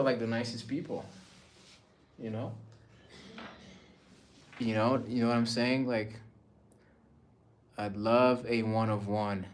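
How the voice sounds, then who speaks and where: A young man from Rwanda chatting casually on a phone livestream at home, showing his art and answering viewer comments in a relaxed, rambling way. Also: fairly low pitch. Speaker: artist livestreaming. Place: indoors at home among his paintings. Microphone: phone camera, moving around.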